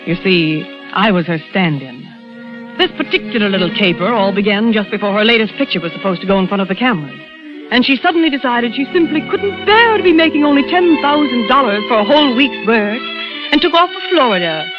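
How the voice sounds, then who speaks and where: A woman's voice: medium pitch, expressive, brisk, lightly acted. An actress narrating as a female stand-in in a wry, confiding manner, in 1940s radio drama style. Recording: lo-fi old radio broadcast with music.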